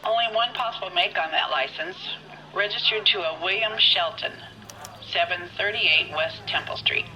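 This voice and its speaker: An actor playing a brisk police records clerk answering a detective over the phone. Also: male voice, high voice, bright, forceful tone, dull audio, a little hiss or hum. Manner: brisk, efficient.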